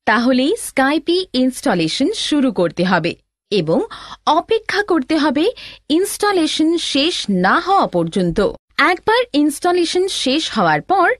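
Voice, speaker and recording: A woman voicing an instructive elder sister in an animated lesson, giving deliberate, scripted step-by-step tutorial narration. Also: high voice, no room sound, clean studio sound.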